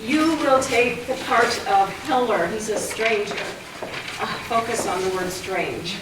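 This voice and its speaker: A woman's voice: a little high, a little slow. A woman directing a read-through, polite and hesitant with many fillers. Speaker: director casting the play. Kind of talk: casual organizing talk. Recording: distant mic, very echoey room, background noise.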